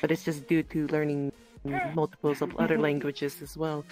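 A woman roleplaying a gentle, protective dragon woman in a soft, hesitant, improvised chat. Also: low-pitched, little pitch movement, moderate pace, music in the background. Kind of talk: improvised virtual-reality fantasy roleplay chat.

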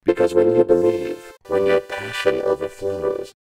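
Male dramatic motivational read, vocoder effect, very low, gravelly, heavy synth tones. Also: a little slow, over loud music, low-quality recording. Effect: synth vocoder, robotic.

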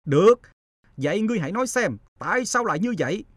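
A man playing an imperious, stout middle-aged emperor in an animated folktale, speaking in a commanding manner. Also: sits high, fast talker, no room sound, clean studio sound. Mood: demanding. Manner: commanding, demanding. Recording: music under the voice.

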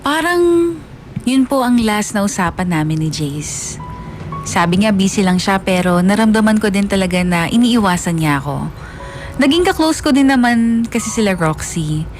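Woman playing a wistful young woman confessing, mid-pitched. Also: expressive, normal speed, natural voice. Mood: wistful, longing. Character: wistful young college woman confessing her unrequited love. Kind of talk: first-person radio drama narration. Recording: music bed under the voice.

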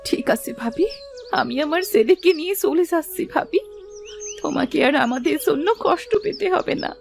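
A woman playing a meek, sorrowful village mother in an animated moral story, pleading and gentle. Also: sits high, moderate pace, creaky, soft background music.

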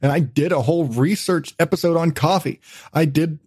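Foul-mouthed male podcast host, higher-pitched voice. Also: moderate pace, darkish tone, slightly rough, dry recording, studio quality. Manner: profane, blunt, self-deprecating. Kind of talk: casual podcast chat.

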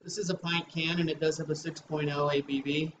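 A man's voice: fairly high pitch, flat delivery, brisk. A middle-aged man co-hosting a casual beer review, chatty and rambling with many fillers. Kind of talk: casual chat. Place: small room with shelves of beer bottles. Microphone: webcam recording from a distance. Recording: roomy, distant, dull webcam sound with faint hiss.

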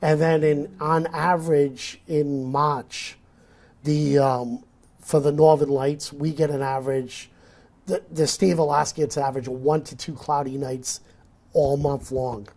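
A man's voice: a little high, little pitch movement, measured pace, darkish tone. New England man explaining eagerly. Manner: gestures a lot, explains eagerly with restarts. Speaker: nature photographer guest. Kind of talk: casual interview talk. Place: studio set. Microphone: lapel.

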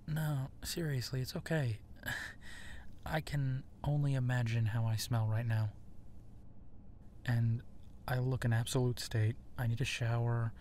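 A man playing a gentle, awkward, self-deprecating werewolf friend in an intimate ASMR audio drama, speaking softly and haltingly. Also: medium pitch, slight background noise, lightly acted. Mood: flustered, reluctant. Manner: hesitant, soft-spoken, halting, often slipping into whispers.

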